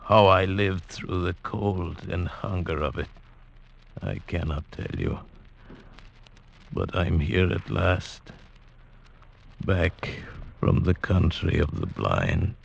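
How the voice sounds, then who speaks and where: An actor in a 1940s radio drama playing a weary young traveller who tells his story in a slow, wistful, reflective way. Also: low-pitched, animated, gravelly, highs cut off, a little hiss or hum, heavily acted. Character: weary young traveller going blind, awed by beauty.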